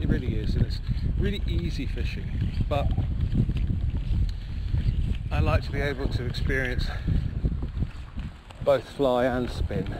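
Slow-talking man musing outdoors. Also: mid-range voice. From Cornwall, England. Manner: musing, hesitant, pausing mid-sentence. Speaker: fishing vlogger, novice salmon angler. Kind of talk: casual reflective outdoor vlog chat. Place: outdoors on a river bank. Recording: clear outdoor background noise.

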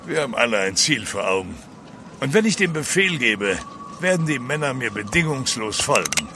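Proud, bright-voiced ship's captain. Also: male voice, mid-range voice, sing-song, normal speed, a little hiss or hum. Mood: commanding. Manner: commanding, formal. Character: stern, proud male ship's captain, indignant and unyielding. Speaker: actor in an audio drama. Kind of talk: German radio play acting.

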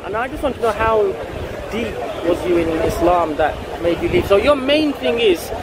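A man with a London accent and a high voice quizzes his opponent in halting, restarting sentences. He stands outdoors in a park. Loud crowd chatter and heavy traffic noise surround him. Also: expressive. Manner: halting, many restarts, questioning. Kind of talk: casual debate.

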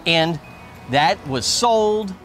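Middle-aged man, lively local publisher, slightly high voice, interviewed outdoors on a lapel mic. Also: very slow, soft background music. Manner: animated, talks with hands. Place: outdoors at a waterfront construction site.

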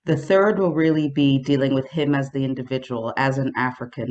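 A woman professor moderating a conference interview over a video call, clear and organized as she introduces the guest and panel. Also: a bass voice, a little mellow, highs cut off. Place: room with a colorful painting behind. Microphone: video call, earphones.

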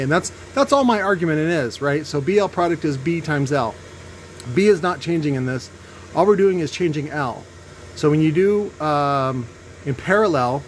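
A man giving casual, unscripted technical commentary as he demonstrates tests, halting and thinking aloud in an opinionated way. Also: on the high side. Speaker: host demonstrating speaker tests. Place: indoor workshop at a cluttered bench. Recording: noisy workshop with background hum.

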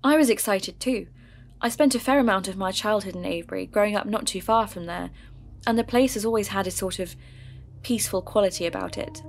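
A woman from England, narrating a scripted story podcast in a measured, earnest way. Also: medium pitch, slight background noise. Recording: clear music under the voice.

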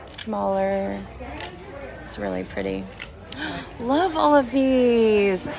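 A woman's voice: a little high, very animated, very slow, vocal fry, a little mellow, faint music behind. Gushing woman filming a market booth. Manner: gushing, admiring, lots of short exclamations. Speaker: host. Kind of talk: casual shopping vlog chat. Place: outdoor market booth with a tent.

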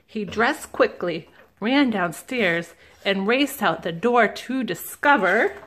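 A woman, a children's librarian, reading a picture book aloud slowly and gently, stopping to ask the listeners questions. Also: mid-range voice, expressive, a little hiss or hum. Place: indoors at a table, camera above the book.

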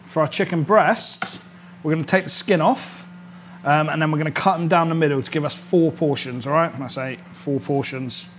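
A British man in his thirties presents an informal cooking demonstration as a chef. His voice sits a little higher than average, and he explains each step in a chatty, instructional way, often checking that the listener follows. Some background noise from the kitchen set can be heard. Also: moderate pace. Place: indoor kitchen studio set.

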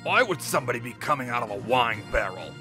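A man voicing a rough, scheming pirate underling in a manga dub, gruff and conspiratorial. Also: medium pitch, very animated, quick pace, sharp tone, faint music behind. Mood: startled. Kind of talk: anime-style manga dub acting.

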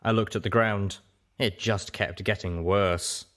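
A man's voice: lowish voice, fairly even intonation, measured pace, a bit bright. A man reading a story aloud as scripted first-person narration.

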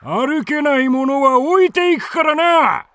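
An actor playing a domineering nomad raider chieftain in a radio drama, with rough, commanding, coarse speech. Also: male voice, very high voice, animated, measured pace, sharp tone, dry recording, full character voice. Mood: harsh, commanding.

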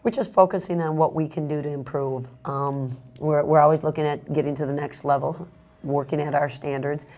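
Low-voiced middle-aged woman coach. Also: fairly even intonation, a little mellow. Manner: measured, repeats words when making a point. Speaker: basketball coach. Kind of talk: studio interview answers. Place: small TV studio, indoors. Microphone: lapel mic.

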